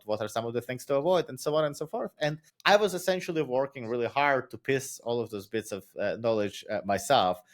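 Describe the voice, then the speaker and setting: Ukrainian-accented man, thirties, medium pitch; thoughtful, explanatory podcast guest. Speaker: guest, author and startup founder. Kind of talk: casual podcast interview.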